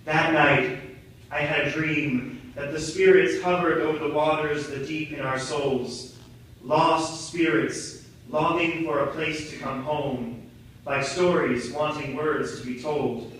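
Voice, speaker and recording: Young man, slightly high voice, unhurried dramatic spoken word, theatrical, poetic, noisy echoey recording. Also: fairly even intonation, fairly bright tone. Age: young adult. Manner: theatrical, deliberate, poetic delivery. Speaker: monologue performer. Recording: very echoey, distant mic, noisy room.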